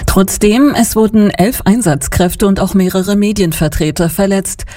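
A woman's voice: medium pitch, moderate pace, mellow, dry recording. A woman with a standard German accent reading scripted radio news as an anchor into a close studio microphone.

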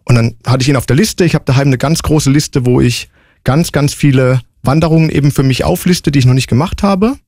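A man in his forties speaks as a guest in a casual interview. His voice sits at an average pitch and his answers are matter-of-fact and to the point. The sound is dry, without any room echo. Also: fairly quick, a little mellow. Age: 40s. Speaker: interview guest, long-distance hiker.

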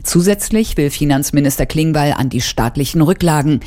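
A woman delivering a radio news report in a crisp, informative way. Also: low-pitched, expressive, brisk, mellow.

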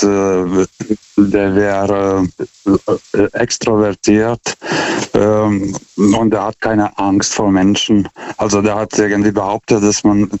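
A forty-year-old man calling a radio phone-in, speaking non-native German with an accent, haltingly, stammering over the starts of words. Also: fairly low pitch, darkish tone, dry recording. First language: not German. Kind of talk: unscripted, reflective call-in talk. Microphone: telephone. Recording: dull, band-limited phone call.

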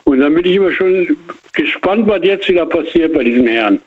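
A man's voice: a little high, animated, brisk, husky. An older man calling in to a radio show, talking in a rambling, reflective way with many hesitations. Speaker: caller. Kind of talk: phone-in chat. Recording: tinny phone line.

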